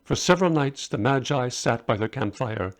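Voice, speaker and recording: A man's voice: mid-range voice, expressive, normal speed. A man reading his own short story aloud as a scripted narration.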